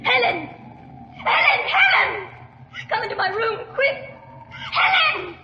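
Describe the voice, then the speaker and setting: A woman's voice: very high voice, sing-song, takes it slowly, raised voice, exaggerated voice. An actress playing a terrified young woman in a 1940s radio melodrama, breathless and overwrought. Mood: screaming for help. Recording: reverberant, dull, noisy old radio.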